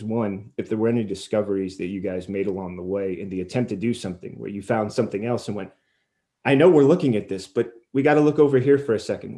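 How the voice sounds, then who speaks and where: A man's voice: lowish voice, warm tone. A man hosting an interview, asking long, thoughtful, roundabout questions. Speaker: interviewer, podcast host.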